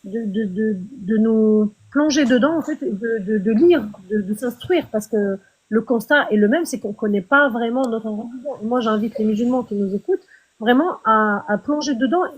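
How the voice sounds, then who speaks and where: A woman with a husky, slightly high voice argues her side over an online live-stream call. She keeps stumbling and restarting her words, yet stays earnest and insistent. The talk is loose and conversational. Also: moderate pace, poor audio. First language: Arabic. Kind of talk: heated casual debate.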